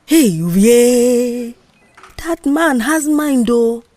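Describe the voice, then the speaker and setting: A woman's voice: fairly high pitch, lively intonation, very slow, mellow, dry recording, pitched above the performer's own voice. A Nigerian woman voicing a bold, scheming female office worker who protects and reassures her friend in an audio drama. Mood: firm, soothing. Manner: confident, reassuring, mischievous.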